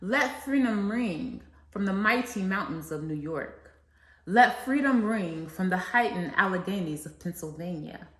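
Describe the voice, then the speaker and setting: A woman's voice: medium pitch, animated, unhurried, fairly bright tone. A woman reading aloud and explaining for children, as an educator leading a read-along. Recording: echoey, reverberant room sound.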